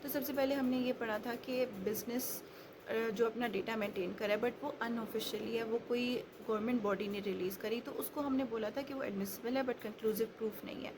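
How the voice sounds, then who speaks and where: A woman's voice: on the high side, steady tone, normal speed. A woman with a Pakistani accent giving an explanatory law lecture, instructive and restating her points as she goes. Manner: instructive, repeats and rephrases points. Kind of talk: explanatory lecture mixing Urdu and English. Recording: clearly noisy background ambience.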